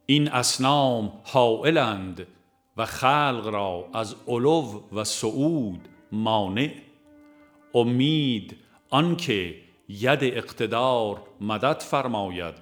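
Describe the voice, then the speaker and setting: Low-voiced man narrating a devotional audiobook. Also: animated. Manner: measured, formal, devotional reading. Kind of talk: scripted audiobook narration of a religious text. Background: music.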